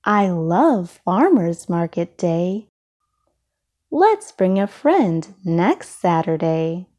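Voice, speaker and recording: Very slow, mellow woman reading a storybook. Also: fairly low pitch. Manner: expressive, deliberate phrasing for young listeners. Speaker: storybook reader. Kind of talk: children's storybook read-aloud.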